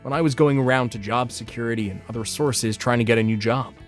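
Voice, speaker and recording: A man's voice: mid-range voice, fast talker, faint music behind, lightly acted. A man narrating a scripted first-person story as a married man who lost his job. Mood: matter-of-fact.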